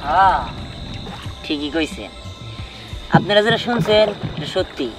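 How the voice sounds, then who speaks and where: A man playing a menacing ghost boatman in a horror short film, slow and taunting with cackles. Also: on the high side, animated, music in the background. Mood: ominous. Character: menacing male ghost boatman haunting a forbidden pond.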